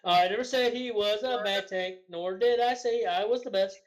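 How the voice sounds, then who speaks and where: A woman's voice: medium pitch, normal speed, crowd noise. A woman reading forum posts aloud in a sarcastic, argumentative way, like a mocking dramatic reading. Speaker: co-host.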